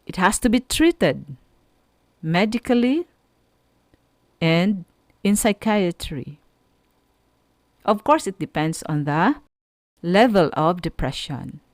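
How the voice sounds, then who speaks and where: Slow, expressive Filipino woman teaching. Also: on the low side, no room sound. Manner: deliberate, explanatory, halting phrasing with emphatic stresses. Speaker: radio school teacher. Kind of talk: radio lesson.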